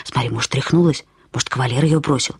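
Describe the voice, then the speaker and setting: A woman's voice: a bass voice, fast talker, vocal fry, slightly rough, no room sound, clean studio sound, exaggerated voice. A woman voicing a nosy, gossipy female dormitory door attendant who confides in colloquial speech, in an audio drama. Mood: gossipy, confiding.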